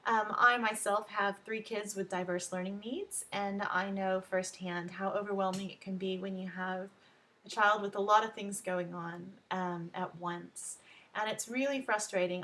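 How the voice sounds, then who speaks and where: A woman's voice: medium pitch, a bit bright. A woman from Texas with an American Texan accent, giving an informal explanatory introduction to her work as an advocate and coach. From Texas, United States. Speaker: education advocate, coach and tutor introducing own service. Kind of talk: informal explanatory talk to camera.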